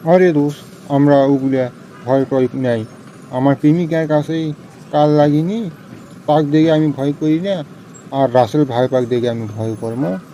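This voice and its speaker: A man's voice: on the high side, fairly even intonation, measured pace, pauses often, mellow, lo-fi sound, slight background noise, close to an everyday voice. A man voicing a cocky, boastful young village friend in a comedy cartoon, teasing in a northern Bangladeshi accent. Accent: northern Bangladeshi regional. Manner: bragging, teasing, colloquial. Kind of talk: comic cartoon dialogue.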